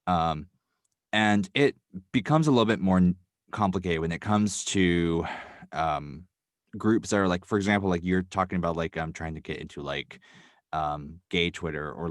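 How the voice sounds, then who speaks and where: A man's voice: deep voice, no echo, clean studio sound. A young man, a podcast guest, talking casually and thoughtfully, with many fillers and restarts. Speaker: podcast guest, writer and sound designer. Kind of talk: casual interview talk.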